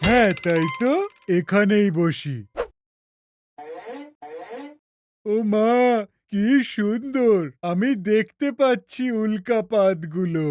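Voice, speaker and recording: A performer voicing a greedy, excitable, childlike cartoon character in an exclamatory, playful way for a children's dub, with music underneath. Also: medium pitch, slow pace, no echo, close to an everyday voice. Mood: excited.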